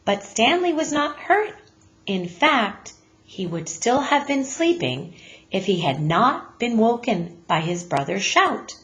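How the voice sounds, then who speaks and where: A woman teacher reading a children's story aloud in an expressive, deliberate manner. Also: on the high side.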